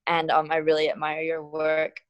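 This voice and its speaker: A woman dancer on a video call, talking softly and a little nervously in casual slang. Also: sits low, completely flat delivery, moderate pace, highs cut off.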